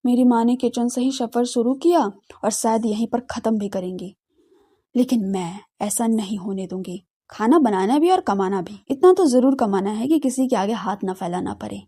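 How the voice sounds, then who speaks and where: A woman's voice: a little high, normal speed, warm tone, slightly rough. A woman playing a determined, dutiful, frustrated young daughter in an audio drama, earnest and emphatic. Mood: frustrated resolve. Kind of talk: audio drama character dialogue.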